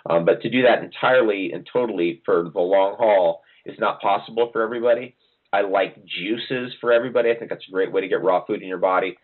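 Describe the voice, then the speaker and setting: A man's voice: fairly low pitch, fast. A middle-aged man from New Jersey, a guest talking casually and rambling with many ums and restarts. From New Jersey, USA. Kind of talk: casual interview answers. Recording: phone-like narrow audio.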